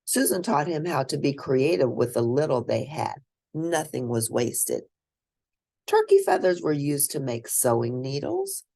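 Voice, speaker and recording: Very low-voiced woman reading a storybook. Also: big pitch swings, unhurried, dark tone, slightly rough. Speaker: host reading picture books aloud. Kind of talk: read-aloud children's storybook narration.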